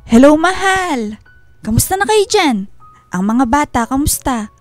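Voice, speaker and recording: Higher-pitched, plaintive homesick mother. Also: animated, unhurried, dry recording, soft background music. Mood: eager, affectionate. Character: loving, homesick mother working abroad, talking to her husband. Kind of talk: emotional radio drama dialogue.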